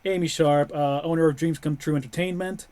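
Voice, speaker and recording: A man's voice: fairly high pitch, fairly even intonation, brisk, a little mellow. A man hosting a casual webcast, talking in a rambling way with restarts and fillers. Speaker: host.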